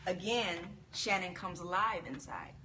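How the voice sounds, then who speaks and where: A woman's voice: fairly low pitch, unhurried. A woman hosting a live-stream book club, reading a novel aloud and reacting to viewers' comments. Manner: reads a novel aloud, then breaks off to read out and laugh at viewer comments. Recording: distant mic with some background noise.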